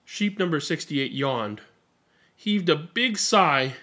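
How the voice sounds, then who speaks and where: Guy reading storybooks out loud, kinda high voice, really lively and expressive. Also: slow. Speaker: read-aloud storyteller and host. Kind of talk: picture-book read-aloud and casual commentary. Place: small indoor room at home.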